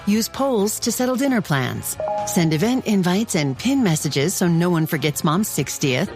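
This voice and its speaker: Ad read: woman, lively pitch swings, clear music bed underneath. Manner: bright, lively promotional delivery. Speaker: ad announcer. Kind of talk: scripted radio ad read.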